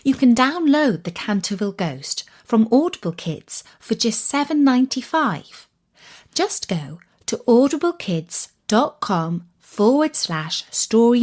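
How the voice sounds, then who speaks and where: A woman narrating a scripted children's story in a dramatic, deliberate way, with breathy delivery and suspenseful pauses. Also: a little high, big pitch swings, darkish tone.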